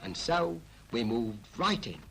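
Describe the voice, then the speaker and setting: An actor playing a cheerful, chatty everyman narrating a 1940s animated public information film in a folksy, colloquial way. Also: mid-range voice, takes it slowly, creaky, full character voice. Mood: chatty, proud. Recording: old 1940s film soundtrack, music behind.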